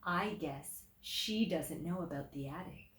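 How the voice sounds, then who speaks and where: A woman teacher reading a picture book aloud to children, explaining simply and deliberately and putting questions to her listeners. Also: low voice. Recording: roomy echo, distant microphone.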